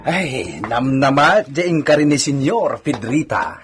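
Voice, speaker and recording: A man playing a lively, teasing servant on a hacienda in a radio drama, with a husky voice. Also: medium pitch, takes it slowly, faint music behind. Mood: playful, teasing. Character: lively, teasing male hacienda servant, deferential to his masters. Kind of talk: Ilocano radio drama acting.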